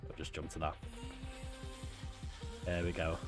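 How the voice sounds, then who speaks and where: A man's voice: a bass voice, monotone, a little slow. A man with a Liverpool accent, chatting casually on his game stream, thanking viewers and chuckling often. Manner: chatty, thanks chat, frequent chuckles and fillers. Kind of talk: relaxed live-stream chat. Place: home. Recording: clear background music. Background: music.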